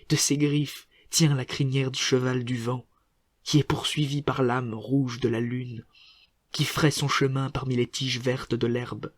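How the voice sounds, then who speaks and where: A man reciting literary poetry in a solemn, measured manner, setting short phrases apart with deliberate pauses. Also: mid-range voice, breathy edge, no room sound. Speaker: poetry reader.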